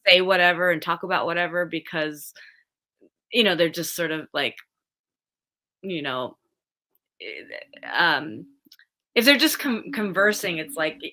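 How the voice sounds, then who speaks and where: A young woman teacher speaks as a podcast guest, her voice bright and a little low. She thinks aloud as she goes, circling back and restarting phrases. Her tone stays casual and conversational throughout. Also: lively intonation, measured pace, pauses often. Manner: thoughtful, restarts phrases, many fillers.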